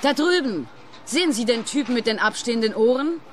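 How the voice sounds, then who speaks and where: A woman's voice: fairly high pitch, lively intonation, fairly quick, slight background noise, lightly acted. An actress playing an eccentric young female trucker in a radio play, dreamy and oddly matter-of-fact. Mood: wistful, cheerfully morbid. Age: young adult.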